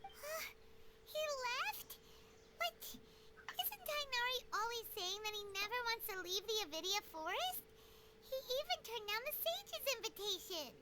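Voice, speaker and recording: A woman voicing a tiny, childlike fairy companion in an anime video game, squeaky, chatty and excitable. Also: measured pace, heavily acted. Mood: curious. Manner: exclamatory, chatty. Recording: game audio under loud background noise.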